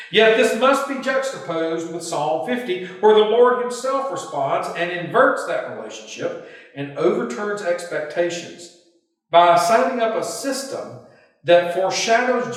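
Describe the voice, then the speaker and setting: A man, a pastor, delivering a scripted devotional sermon in measured, emphatic phrases. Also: on the high side, fairly bright tone. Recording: very echoey hall, distant mic.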